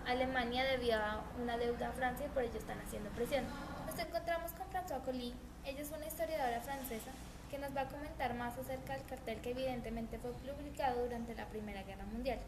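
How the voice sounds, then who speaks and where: A woman presenting a history documentary, reading earnestly with small stumbles and restarts. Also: high-pitched. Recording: noisy homemade recording.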